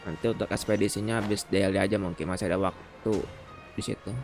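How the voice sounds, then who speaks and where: A man's voice: lowish voice, steady tone, rapid-fire, soft background music. A man with an Indonesian accent giving casual, slangy gameplay commentary as a streamer. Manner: slangy, informal. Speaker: game streamer.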